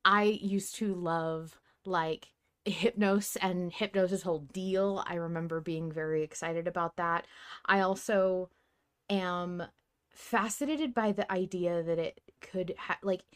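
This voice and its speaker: A woman's voice: lowish voice, steady tone, slow pace, clean tone. A woman hosting a podcast in casual fan-chat style, gushing with enthusiasm and swearing casually. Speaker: podcast host.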